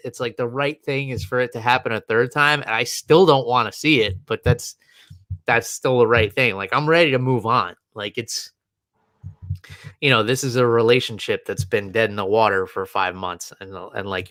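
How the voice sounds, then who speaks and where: A man's voice: mid-range voice, normal speed, bright, forceful tone, no room sound. Rambling man hosting a podcast. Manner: rambling, many fillers and restarts. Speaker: podcast co-host. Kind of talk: casual chat.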